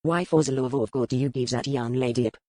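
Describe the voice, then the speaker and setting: A man playing a smooth, probing French merchant with a French accent in a historical romance audiobook. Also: medium pitch, steady tone, quick pace, darkish tone, no echo, clean studio sound, natural voice. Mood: insistent, disapproving. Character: suave, probing French merchant in colonial port. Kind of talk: dramatised audiobook dialogue.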